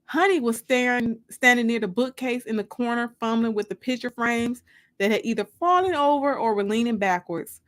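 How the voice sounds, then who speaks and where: A woman narrates fiction in a dramatic reading style, as an author reading her own book. Her voice sits a little high. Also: moderate pace.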